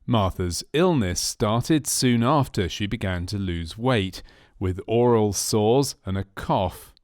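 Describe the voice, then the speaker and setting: A man reading scripted documentary narration for a medical training film in a measured, factual manner. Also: lowish voice, animated, darkish tone, dry recording, clean studio sound. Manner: measured, factual delivery of clinical case history.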